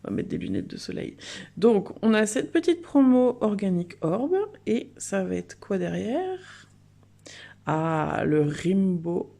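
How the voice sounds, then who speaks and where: Female unboxing host, mid-pitched, mellow with some vocal fry, casual and enthusiastic. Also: big pitch swings, slow. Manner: chatty, enthusiastic. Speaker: host of a card unboxing video.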